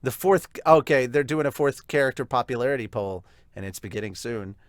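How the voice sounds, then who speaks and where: A male podcast host chatting excitedly while reviewing and reading through a manga chapter. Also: medium pitch, a little fast, dry recording, studio quality. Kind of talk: manga read-along and review.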